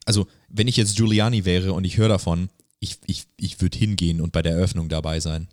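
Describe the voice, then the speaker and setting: A man with a low voice tells a story in a casual podcast style. His phrasing is slangy and hesitant, with English words dropped in. The sound is dry, with very little room. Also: level tone, fairly quick, a little mellow, no room sound. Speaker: podcast co-host.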